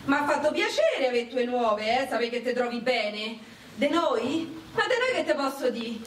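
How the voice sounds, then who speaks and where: An actress playing an anxious, plain-spoken working-class wartime wife with a Roman accent, speaking a letter in a radio drama. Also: female voice, on the high side, big pitch swings, a little slow, roomy sound, recorded from a distance, slight background noise. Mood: anxious, affectionate, reproachful. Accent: central Italian, Roman. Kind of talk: radio drama letter monologue and folk protest song.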